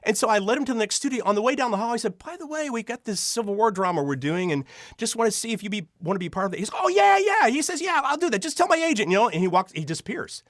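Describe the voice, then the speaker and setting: Animated, enthusiastic male storyteller. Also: sits high, quick pace, no room sound, studio quality. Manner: enthusiastic, gushing, acts out quoted dialogue. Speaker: interview guest, audio drama writer and director.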